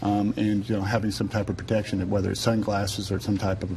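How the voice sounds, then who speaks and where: A man's voice: lowish voice, little pitch movement, a little fast, darkish tone, slight background noise. A man speaking as an eye doctor on a TV talk panel, casual and full of fillers. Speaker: eye surgeon guest. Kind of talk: panel discussion. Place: TV studio.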